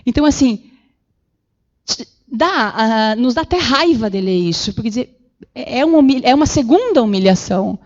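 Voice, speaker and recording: A woman giving an animated public lecture with slides in a council chamber, acting out the voices she quotes. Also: fairly high pitch, moderate pace, lo-fi sound. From Brazil. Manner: animated, acts out quoted voices, many fillers. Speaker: guest lecturer.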